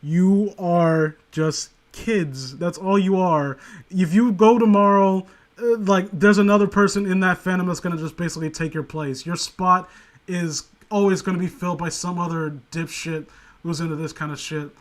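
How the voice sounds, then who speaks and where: Man, fairly high voice, sarcastic, crude humour, rambling casual commentary. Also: steady tone, moderate pace. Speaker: co-host of a comedic commentary show.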